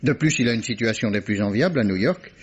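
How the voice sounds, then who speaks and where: An actor playing a shrewd, self-assured police inspector in a vintage French radio drama, teasing and chuckling. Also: male voice, lowish voice, expressive, fast, breathy edge. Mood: confident. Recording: dull old radio archive recording.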